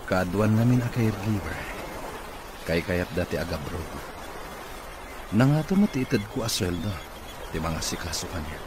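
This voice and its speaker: Deep-voiced, curt irritable boss. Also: male voice, lively intonation, normal speed, pauses often. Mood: impatient. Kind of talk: radio drama acting. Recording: clearly noisy background.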